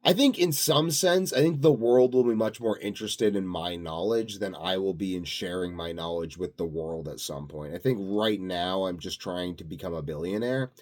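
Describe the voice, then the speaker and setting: A man's voice: low voice, moderate pace, hardly any pauses, a little mellow. A man delivering a rambling, unscripted vlog monologue as a self-styled expert, grandiose and self-assured, musing aloud. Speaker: self-styled health and sleep expert vlogger.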